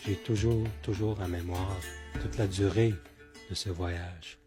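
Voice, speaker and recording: A man whose first language is Cree, giving slow, halting testimony as a survivor. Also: low voice, fairly even intonation, over loud music. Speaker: residential school survivor.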